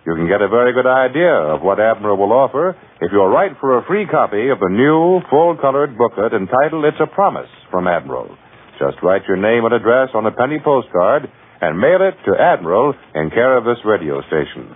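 Old radio ad guy, lively pitch, bit rough voice, thin lo-fi sound. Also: brisk. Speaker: commercial announcer. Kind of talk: vintage radio advertisement read. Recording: lo-fi vintage radio recording.

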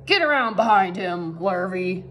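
Farmer, male, read by a woman: calm, coaxing, plain short commands, children's storybook, noisy recording. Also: medium pitch, unhurried. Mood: commanding. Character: calm farmer, a man, coaxing and giving orders.

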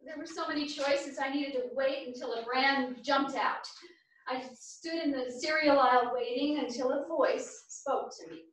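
A woman's voice: high-pitched, moderate pace, a bit bright. An actress playing an earnest, hopeful, sincere older woman in a theatrical staged reading. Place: small theatre stage, far camera. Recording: echoey theatre, distant microphone.

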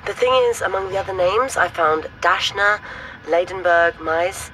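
Actress doing a brisk careful woman researcher on a call, bright voice, bit of noise behind. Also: on the low side, natural voice, rough recording. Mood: brisk, concerned. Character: brisk, cautious woman researcher reporting findings. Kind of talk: audio drama phone conversation.

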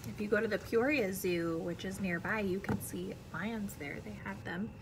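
A woman's voice: medium pitch, moderate pace, noisy background. An American woman, around her thirties, reads a children's picture book aloud like a teacher, expressively and carefully, with friendly asides to young listeners. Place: indoor classroom.

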